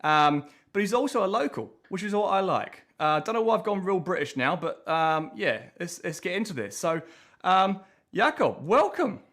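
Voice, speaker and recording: A man hosting a relaxed talk show, his voice sitting a little high for a man. He chats loosely, rambling and circling back to restart his phrases. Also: moderate pace. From from abroad, living in New Zealand. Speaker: podcast host. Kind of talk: casual chat.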